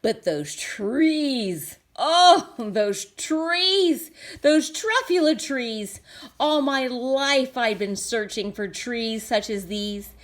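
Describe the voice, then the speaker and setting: Female children's story reader, higher pitch, very expressive melody, slow read-aloud with simple asides. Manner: expressive, explaining with simple questions and interjections.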